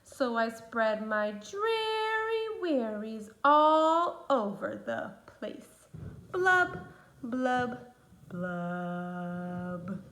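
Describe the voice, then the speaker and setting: A woman voicing a glum, sulky male fish in a children's storybook read-aloud, drawing out a slow sing-song refrain. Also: a little high, sing-song, fairly bright tone, exaggerated voice.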